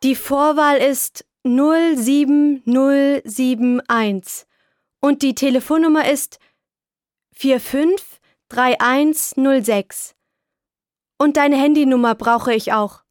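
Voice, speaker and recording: A patient, helpful young woman, as an actress plays her reads back details slowly and clearly for a textbook listening exercise. Her voice sits high and her pace is unhurried. It is a close, dry studio recording. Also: dry recording. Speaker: friend filling in a form. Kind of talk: scripted textbook dialogue.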